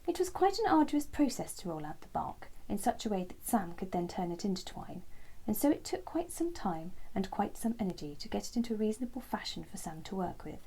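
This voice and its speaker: A woman storyteller narrating a scripted children's bedtime story in a gentle style. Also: medium pitch, very animated, brisk, vocal fry, breathy edge, rough recording.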